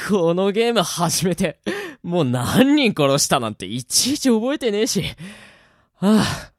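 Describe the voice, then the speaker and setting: A man's voice: high voice, very animated, a little slow, no echo, clean studio sound. An actor playing a flippant, taunting male killer in a death-game radio drama.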